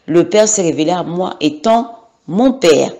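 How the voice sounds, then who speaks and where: Woman from Gabon, thirties, slightly low voice, hesitant spoken testimony, many fillers. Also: expressive, a little slow, mellow. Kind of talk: personal testimony, unscripted.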